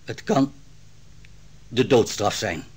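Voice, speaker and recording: A man's voice: on the low side, slow pace, darkish tone, a little hiss or hum. An actor playing a royal counsellor fearing for his wife, speaking earnestly and with agitation in a vintage radio drama. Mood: agitated. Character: royal counsellor, Susanna's anxious husband.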